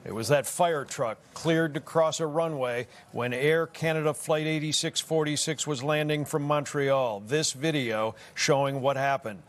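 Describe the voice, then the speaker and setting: A male American reporter delivers a scripted news story in a slightly higher-pitched voice. His phrasing is measured and emphatic, in classic broadcast style. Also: fairly even intonation. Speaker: investigative TV reporter.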